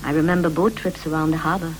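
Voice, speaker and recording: An actress playing a dreamy, possessive wife in a 1960s radio play, speaking in measured, lyrical, rhythmic phrases. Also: female voice, low voice, fairly even intonation, natural voice, poor audio. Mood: fond, nostalgic. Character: Mary, dreamy, possessive wife recalling wedding and marriage. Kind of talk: 1960s BBC radio play, poetic stylised dialogue. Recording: 1960s radio recording with hum, hiss.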